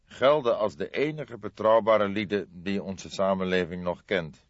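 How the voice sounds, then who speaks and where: A seasoned older male detective, played by a man narrating an audiobook, deliberate and wry. He speaks thoughtfully with a teasing edge, close and dry in a slightly muffled recording. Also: low voice, no echo. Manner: thoughtful, measured, dryly teasing. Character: grey-haired veteran male detective, deliberate, wryly jovial. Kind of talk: audiobook character acting.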